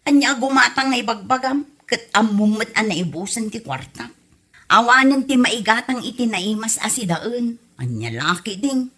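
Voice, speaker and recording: A woman's voice: on the high side, animated, normal speed, a bit bright. A woman playing a hard-up, complaining villager in an Ilocano comedy drama, whiny and exasperated, with an Ilocano accent.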